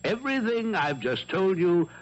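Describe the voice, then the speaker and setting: An actor playing a self-assured, courteous French amateur detective with formal, urbane manners in a 1970s radio mystery drama. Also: male voice, sits high, very animated, normal speed. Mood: amused. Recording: dull 1970s AM radio broadcast.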